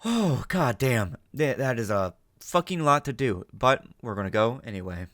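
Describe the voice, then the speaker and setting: A man's voice: mid-range voice, very animated, moderate pace, fairly bright tone, no room sound, clean studio sound. A man chatting casually to viewers as a reaction video host, rambling, swearing and putting himself down. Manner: self-deprecating, sweary, scattered and rambling. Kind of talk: casual unscripted chat to viewers.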